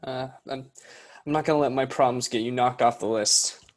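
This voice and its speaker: A man's voice: medium pitch, fairly even intonation, moderate pace, close to an everyday voice. A man playing a puny, self-deprecating teenage boy in a science fiction script read, hesitant and subdued. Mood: hesitant, resolute.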